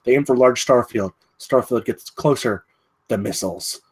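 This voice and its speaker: A man's voice: medium pitch, moderate pace, slightly rough. Man narrating screenplay action. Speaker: narrator reading stage directions. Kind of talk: scripted narration at a screenplay table read.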